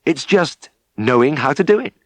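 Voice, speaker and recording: A hearty countryman farmer acted out by a male narrator speaks in a storybook reading. The voice is pitched up from the reader's own, plain and good-natured, and very dry. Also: animated, moderate pace, dry recording, natural voice. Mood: hearty encouragement. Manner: plain-spoken, encouraging.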